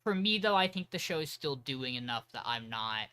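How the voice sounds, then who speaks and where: Man, podcast co-host, bright tone, casual chat, hedging, thinks aloud. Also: medium pitch, sing-song, moderate pace, clear, resonant tone. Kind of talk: casual chat reviewing anime.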